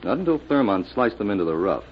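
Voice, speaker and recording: Cornered crook, low voice, hard-boiled 1940s radio acting, muffled recording. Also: male voice, big pitch swings, quick pace, husky. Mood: sullen confessing. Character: desperate cornered male gangster turned sullen confessor.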